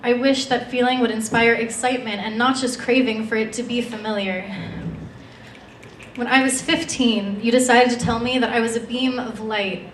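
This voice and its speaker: A young woman performing a spoken-word poem live as a slam poet. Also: on the high side. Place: stage in an indoor event room. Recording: echoey room, distant mic, faint noise.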